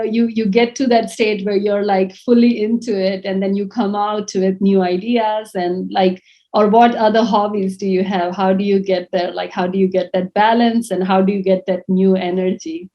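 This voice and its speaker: A woman's voice: mid-range voice, normal speed, highs cut off. A young woman interviewing a guest, asking long, chatty questions full of "you know" and "like". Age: young adult. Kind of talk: conference interview.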